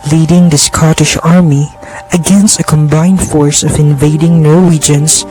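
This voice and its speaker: Young man, slightly high voice, unhurried scripted narration, music behind. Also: fairly even intonation, a little mellow. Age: young adult. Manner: slow, deliberate storytelling. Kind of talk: scripted narration for a student radio drama.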